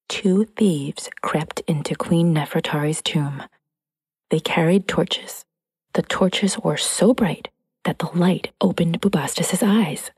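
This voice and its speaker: A woman reads a children's story at a slow, easy pace. Her voice is soft-edged and mellow, with playful, expressive phrasing. A long reverb tail hangs behind the words. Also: lowish voice, husky. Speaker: storybook narrator. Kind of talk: scripted children's story narration.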